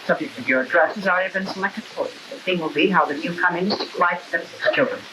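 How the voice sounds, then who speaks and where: A man acts as a cold, officious party functionary in an old propaganda film. He talks quickly and stiffly in a fairly high voice. Clear hiss sits on the soundtrack. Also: close to an everyday voice. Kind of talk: 1960s propaganda film acting.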